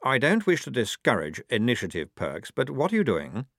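A man voicing a high-strung young male lieutenant who talks in a fussy, quavering way. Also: huge pitch swings, moderate pace, a bit bright, no room sound, studio quality, exaggerated voice. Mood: prim.

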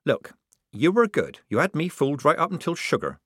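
A man's voice: on the high side, huge pitch swings, fairly quick, fairly bright tone, husky, no echo, pitched above the performer's own voice, heavily acted. A man voicing a practical young woman disguised as a male soldier, speaking dryly and reasonably. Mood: wry. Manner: dry, reasonable. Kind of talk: audiobook character voice.

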